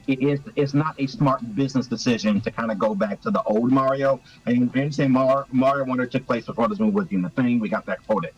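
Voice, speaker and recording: A man's voice: medium pitch, fast talker, hardly any pauses, darkish tone, lo-fi sound, faint music behind. A man co-hosting a live call-in stream, earnest and stumbling over his words as he argues a point. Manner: earnest, stammering restarts. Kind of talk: casual call-in discussion.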